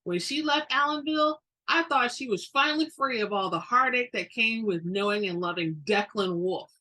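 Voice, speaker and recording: A woman reading a book aloud with dramatic flair, expressive and emphatic in her delivery. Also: on the high side, normal speed, poor audio. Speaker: book reader.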